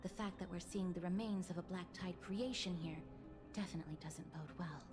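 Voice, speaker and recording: A woman voicing a calm, grave young woman in a video game, courteous and formal, with soft, measured, breathy delivery. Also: fairly low pitch, over music, lightly acted. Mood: solemn. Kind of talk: anime-style game voice acting.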